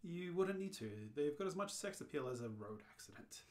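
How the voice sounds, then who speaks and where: An amateur reader playing a matter-of-fact, worldly alien hitchhiker with a dry, deadpan manner in a radio play. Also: mid-range voice, a little fast, close to an everyday voice. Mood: dry, unfazed.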